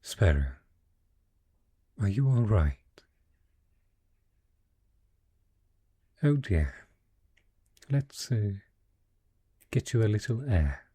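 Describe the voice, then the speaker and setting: A man playing a wealthy, cunning dragon in humanoid disguise, speaking in a refined, eloquent and husky way in a romantic fantasy audio roleplay. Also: on the low side, sing-song, mellow, full character voice. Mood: gentle concern. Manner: refined, eloquent, unhurried storytelling.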